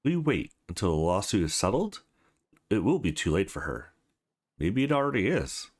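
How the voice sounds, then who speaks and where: A man hosting a let's play, reading game script aloud in an expressive, sing-song way and adding brief reactions. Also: low-pitched, normal speed, husky. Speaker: let's play host reading visual novel dialogue aloud.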